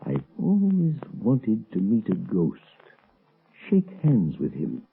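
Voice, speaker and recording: Creepy genteel inventor husband in an old radio play, deep n slow, tinny sound. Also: male voice, huge pitch swings, gravelly, breathy edge, heavily acted. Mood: musing, menacing. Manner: mock-genteel, musing, theatrical. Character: sly, eccentric husband, an inventor fascinated by ghosts. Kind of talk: 1930s radio acting.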